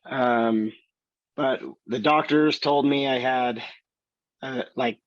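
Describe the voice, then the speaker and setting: A man in his thirties with a raspy voice, slowly telling his life story in an interview with many fillers. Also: mid-range voice, fairly even intonation, no room sound, highs cut off. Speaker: student.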